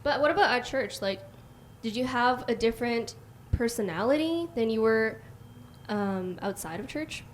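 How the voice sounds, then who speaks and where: A young woman hosting a casual chat, soft-spoken and asking her guests questions; she grew up speaking Mandarin at home. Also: on the high side, lively intonation, normal speed, slight background noise. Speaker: host.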